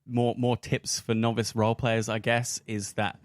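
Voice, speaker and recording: A man's voice: on the low side, flat delivery, moderate pace, no echo, clean studio sound. An Australian man hosting a casual discussion, playful and encouraging with the others. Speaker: discussion host.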